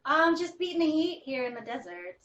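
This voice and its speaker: A woman's voice: high-pitched, very animated, brisk, fairly bright tone, muffled recording. A woman who works as an actor, chatting casually on a remote call from a roomy space. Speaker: actor on a virtual table read. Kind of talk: casual chat. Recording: roomy, distant call microphone.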